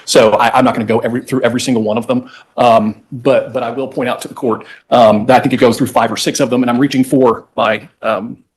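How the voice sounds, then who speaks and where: A male lawyer delivers oral argument with a mid-range voice. He explains methodically, step by step, with a slightly halting delivery as he addresses the judge. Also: medium pitch, fairly even intonation. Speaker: lawyer arguing in court. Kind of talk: oral argument walking a judge through legislative history.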